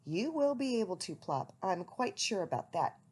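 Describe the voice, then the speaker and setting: A woman reading aloud, voicing a patient but tired mother barn owl in a calm, firm tone. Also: mid-range voice, moderate pace, slightly rough.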